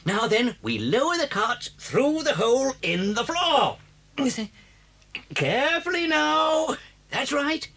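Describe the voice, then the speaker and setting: A man's voice: extremely high pitch, very animated, bright, forceful tone, heavily acted. A man with a British accent playing a clever, cocky father fox in a children's audio drama, theatrical and persuasive. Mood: jovial, bossy. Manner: theatrical, sly, drawn-out phrasing.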